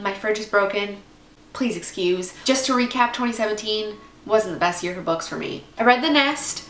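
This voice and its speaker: A woman's voice: mid-range voice, a bit bright. A young woman in her twenties with a congested cold, chatting casually to camera about books, rambling with lots of asides. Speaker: book vlogger. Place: at home, indoors. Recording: echoey room with faint background noise.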